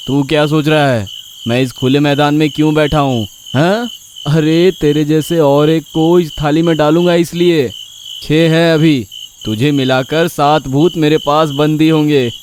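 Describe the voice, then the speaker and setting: A man playing a lazy, sly, henpecked village husband in a children's cartoon story, with exaggerated, drawn-out exclamations. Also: a little high, steady tone, a little mellow, a little hiss or hum, lightly acted. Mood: bluffing, bossy.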